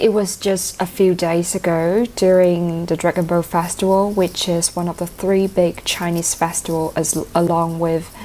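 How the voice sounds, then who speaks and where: Low-voiced woman, cantonese first language, chatty informal english answers. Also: dark tone, slight background noise. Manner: fluent, informal, uses slang. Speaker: IELTS speaking candidate. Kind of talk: answering interview questions.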